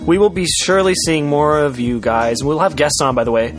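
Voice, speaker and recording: A man's voice: on the high side, lively intonation, a little fast, music in the background. A man co-hosting a podcast in casual, improvised banter, rambling and jokey, often repeating his phrases. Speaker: podcast co-host.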